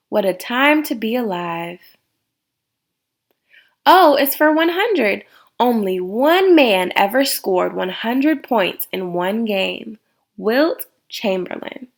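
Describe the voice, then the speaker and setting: A woman with a fairly high voice reads a picture book aloud for young children. She takes it very slowly, setting each phrase apart with deliberate care. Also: a bit bright.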